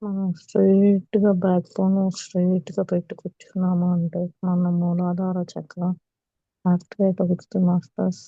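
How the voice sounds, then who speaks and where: Telugu lady guiding meditation, soft mellow voice, calm with long pauses, echoey muffled room. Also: fairly low pitch, gravelly. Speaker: meditation teacher. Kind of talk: guided meditation instruction.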